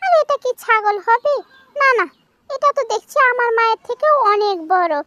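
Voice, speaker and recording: A performer voicing a naive, stubborn little goat kid in a children's cartoon, squeaky and childlike, with a wheedling manner. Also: animated, moderate pace, bright, forceful tone, dry recording, clean studio sound, full character voice. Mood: curious wonder. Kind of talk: children's animated story dialogue.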